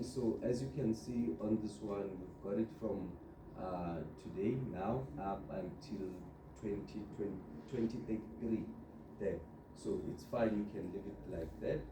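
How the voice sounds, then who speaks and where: A man giving an informal step-by-step software tutorial, instructing and often checking in with the listener. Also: on the low side. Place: indoor room, filming a computer monitor. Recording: roomy echo, distant mic, clear background noise.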